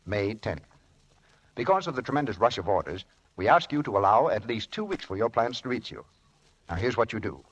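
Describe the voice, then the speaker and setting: A male announcer from 1940s radio delivers a sales message. His voice is lowish and husky, with animated, emphatic phrasing and a deliberate salesman's push. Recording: vintage radio broadcast.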